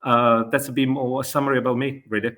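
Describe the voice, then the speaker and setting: A man's voice: medium pitch, level tone, fairly quick, fairly bright tone. A man in his forties from Italy with an Italian accent, answering interview questions hesitantly, with many fillers and self-corrections. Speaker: guest, e-commerce consultant.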